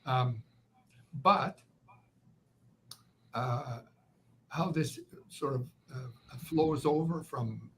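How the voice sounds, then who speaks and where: A man's voice: medium pitch, fairly bright tone, a little hiss or hum, low-quality recording. Canadian man with a slight Ukrainian accent. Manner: measured, deliberate, with frequent "uh" pauses. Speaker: guest, author and journalist. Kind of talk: reflective interview answers.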